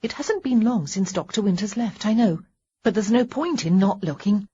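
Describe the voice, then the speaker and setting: A woman reading a storybook, voicing a tender, flustered young woman with a breathy voice who fusses nervously with a slight tremble. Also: mid-range voice, expressive, moderate pace, warm tone, lo-fi sound, voice pushed up. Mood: nervous cheer. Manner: fussing, nervous chatter with a tremble. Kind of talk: audiobook character voice.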